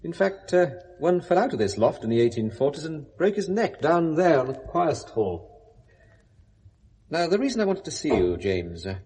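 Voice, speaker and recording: Persuasive British businessman, animated intonation. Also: on the high side, breathy edge, heavily acted. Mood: brisk, genial. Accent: British English. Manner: urbane, persuasive, brisk. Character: brisk persuasive businessman, male, family man, paternal. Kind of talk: 1970s British radio drama acting.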